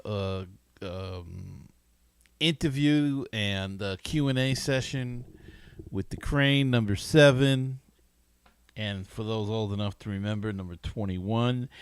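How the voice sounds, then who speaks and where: Slow, halting man hosting sports talk. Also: mid-range voice, smooth, dry recording. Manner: halting, rambling, enthusiastic. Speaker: podcast host. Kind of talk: casual solo sports talk.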